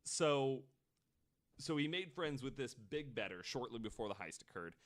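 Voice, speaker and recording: A man's voice: mid-range voice, expressive, moderate pace. A man hosting a podcast, telling a true-crime story in a casual, conversational way with the odd swear word. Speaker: podcast host.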